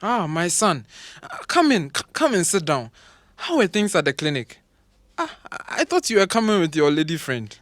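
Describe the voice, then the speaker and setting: An actor playing an older professor father in an audio drama, proud and dismissive, with a pompous, sometimes halting delivery. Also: high-pitched, very animated, fairly bright tone. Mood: genial, fussy.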